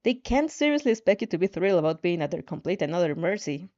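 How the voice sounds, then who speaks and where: A woman's voice: lowish voice, big pitch swings, fast talker, darkish tone, lo-fi sound. A woman narrating fan fiction expressively, as an amateur storyteller.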